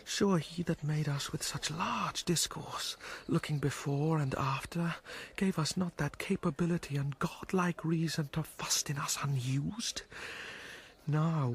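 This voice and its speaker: An actor playing a brooding, anguished young prince in a Shakespearean radio drama, with theatrical, deliberate phrasing. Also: male voice, fairly high pitch, slightly rough, full character voice.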